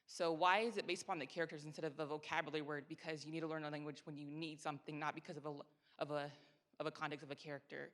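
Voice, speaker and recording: An audience member asking a quick, probing question from the floor. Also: medium pitch, little pitch movement, a bit bright. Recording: distant, hall reverb.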